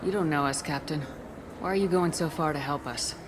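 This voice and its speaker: An actress playing a direct, controlled military commander in a sci-fi video game, with a probing, firm manner. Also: female voice, low-pitched, a little fast, natural voice. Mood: businesslike. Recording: clear background noise, vehicle ambience.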